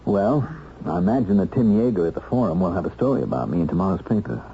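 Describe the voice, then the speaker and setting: An actor playing an earnest, wry ex-convict husband in a radio drama, with a measured and sometimes hesitant delivery. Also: male voice, low voice, sing-song, vocal fry, warm tone, exaggerated voice. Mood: tender, wry. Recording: dull, noisy old radio recording.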